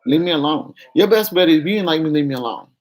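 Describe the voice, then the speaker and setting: A woman vlogger with a fairly deep, projecting voice, talking frankly and confessionally to her viewers in a casual way. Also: lively intonation, fast, darkish tone. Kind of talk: candid casual talk to viewers.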